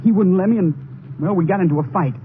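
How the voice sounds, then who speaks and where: High-voiced anxious young soldier played by a man. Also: lightly acted. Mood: anguished confession. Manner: halting, stammering, nervous. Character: anxious, guilt-ridden young runaway soldier. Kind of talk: 1950s radio drama acting. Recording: noisy, narrow old radio recording.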